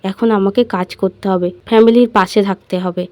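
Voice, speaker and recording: A woman's voice: mid-range voice, fairly even intonation, moderate pace, dark tone, no echo, close to an everyday voice. A woman voicing a polite, dutiful young female secretary in an audio love story, meek and submissive. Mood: quietly resolute.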